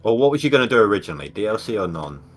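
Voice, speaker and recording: A man's voice: on the low side, sing-song, rapid-fire, soft background music. A man hosting a game stream, chatting casually and explaining things to friends. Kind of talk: casual chat.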